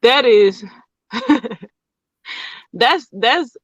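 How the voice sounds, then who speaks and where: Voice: medium pitch, lively intonation, extremely slow, dry recording. A podcast host in casual chat who rambles loosely and leans on fillers as they lead into the next topic.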